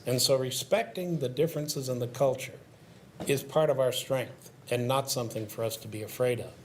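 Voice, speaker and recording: Measured older man in a panel talk. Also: mid-range voice, a little hiss or hum. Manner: measured, thoughtful, lecturing tone. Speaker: panel guest. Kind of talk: casual panel discussion.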